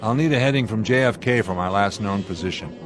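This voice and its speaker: A man acting in a radio drama as a steady airline captain with an authoritative, clipped manner. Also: lowish voice, fairly quick, natural voice. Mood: grave, resolute. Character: steady, commanding male airline captain of a jet in trouble. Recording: dramatic music behind the voice.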